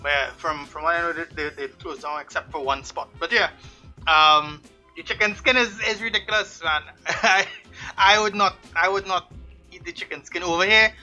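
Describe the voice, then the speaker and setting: A man's voice: fairly high pitch, normal speed, pushed and bright, faint music behind. A young man from Trinidad, co-hosting a casual podcast chat with swearing and easy laughter. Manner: laid-back, swearing, laughs often. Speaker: podcast co-host.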